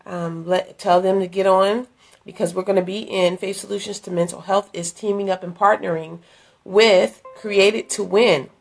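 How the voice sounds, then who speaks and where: A middle-aged woman, hoarse from a cold, chatting earnestly into a phone at arm's length in a dim room, inviting viewers to an event. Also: mid-range voice, steady tone, normal speed, faint music behind. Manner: chatty, earnest, with many fillers and restarts. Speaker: ministry leader promoting an event. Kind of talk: casual live-stream invitation. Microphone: phone held at arm's length.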